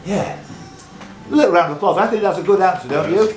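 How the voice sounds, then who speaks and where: A man's voice: high-pitched, fast. Man hosting a pub quiz, echoey room. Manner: chatty, teasing banter with contestants. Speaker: quiz host. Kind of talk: live pub quiz hosting, reading questions. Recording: roomy echo, music in background.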